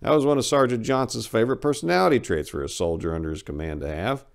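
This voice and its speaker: A man's voice: mid-range voice, expressive, fast talker, creaky. A man narrating war fiction as a dramatic story reading.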